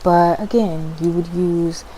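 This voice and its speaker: Low, mellow woman speaking softly for ASMR. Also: fairly even intonation, slow, low-quality recording. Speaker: ASMR host and spiritual practitioner. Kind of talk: ASMR, soft-spoken informal explaining. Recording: faint background noise and ambience.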